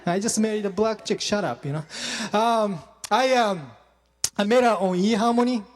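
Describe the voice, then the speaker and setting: A young man does a stand-up set with a high, light voice. He runs on in a halting, self-deprecating way, with plenty of fillers and restarts. The club's long reverb rings around each line. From Japan. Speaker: stand-up comedian.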